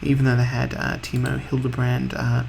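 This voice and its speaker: Husky, gravelly man with a cold. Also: mid-range voice, completely flat delivery, normal speed, a little mellow, slight background noise. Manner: rambling, offhand, chatty. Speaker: video game let's-play host. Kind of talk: casual game commentary.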